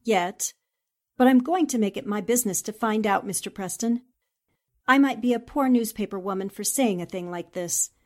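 A woman's voice: medium pitch, moderate pace, natural voice. An actress playing a determined, composed newspaperwoman who probes with sympathy, in 1940s-style radio drama. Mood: resolute.

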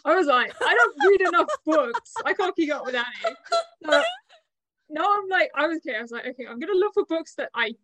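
A woman's voice: sits high, animated, a little fast, noisy background. A woman co-hosting a podcast, chatting casually about books in a rambling way. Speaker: podcast co-host. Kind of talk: casual book chat.

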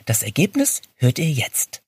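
A man's voice: fairly high pitch, huge pitch swings, unhurried, loud music behind the voice. A man hosting a podcast, chatting informally and addressing his listeners directly as he introduces and conducts interviews.

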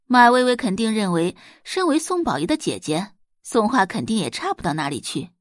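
Woman audiobook narrator for serial fiction; dramatised delivery, lively pitch movement, dry studio-like sound. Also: normal speed, no echo. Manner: expressive, dramatised storytelling. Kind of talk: scripted audiobook narration of a web novel.

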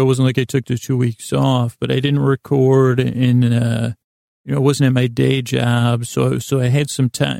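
A man hosting a podcast in a rambling, unscripted monologue, hesitant and halting, with a gravelly, creaky voice. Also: medium pitch, dark tone, dry recording, studio quality. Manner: hesitant, halting, meandering with false starts. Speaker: podcast host.

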